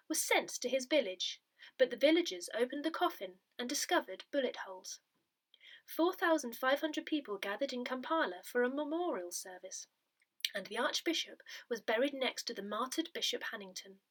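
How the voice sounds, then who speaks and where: A woman reading a parish newsletter aloud in a soft, breathy, measured way. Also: high voice, animated. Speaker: newsletter reader.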